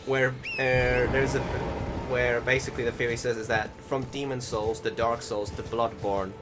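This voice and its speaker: Man, mid-range voice, casual game commentary, hesitant, rambling, clear background music. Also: medium pitch, level tone, normal speed. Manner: hesitant, rambling, word-searching. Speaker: gaming channel host. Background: music behind the voice.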